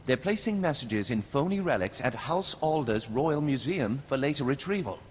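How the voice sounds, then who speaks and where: A man's voice: mid-range voice, lively intonation, moderate pace, lightly acted. Man acting stern, commanding nobleman in a reverberant hall. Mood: businesslike. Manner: formal, commanding. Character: stern aristocratic spymaster giving mission orders. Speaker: character actor in a video game. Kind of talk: scripted game dialogue. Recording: long hall reverb, faint noise.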